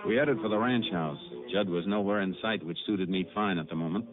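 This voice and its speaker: Low-voiced, steady Texas Ranger played by a man, old radio. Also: over loud music, lightly acted. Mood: dry narration. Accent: American. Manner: measured, matter-of-fact, deliberate. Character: steady, methodical Texas Ranger lawman, male detective. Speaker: lead actor and narrator. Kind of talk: 1950s radio drama acting with first-person narration. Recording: noisy, narrow-band old radio recording.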